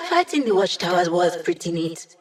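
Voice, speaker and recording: A woman's voice: fairly low pitch, sing-song, moderate pace. A woman playing a brainy, wry digital hacker in a video game, talking casually and playfully as the squad's tech support. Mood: focused, casual. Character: cheeky, brainy female hacker, a digital holographic young woman.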